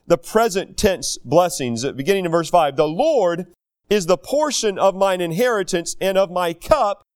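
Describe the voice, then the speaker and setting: Man, 30s, pastor, sermon, high voice, very animated pitch, reads verses aloud. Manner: animated preaching, reads scripture aloud, quick asides.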